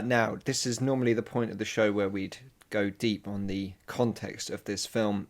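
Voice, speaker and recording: A man's voice: fairly low pitch, creaky. A man co-hosting a film podcast in casual chat, playful and teasing, often restarting his words. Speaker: podcast co-host. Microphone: headset.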